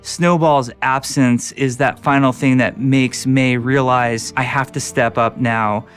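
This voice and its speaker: A man's voice: mid-range voice, steady tone, takes it slowly, fairly bright tone, faint music behind. A man talking enthusiastically about game storytelling in a behind-the-scenes documentary. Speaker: game developer interviewed. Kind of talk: documentary interview.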